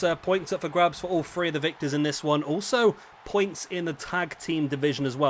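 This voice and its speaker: A man with a slightly rough, raspy throat, casually commentating a wrestling video game as the channel host, thinking aloud and correcting himself. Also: a little high, a little hiss or hum. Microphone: headset microphone.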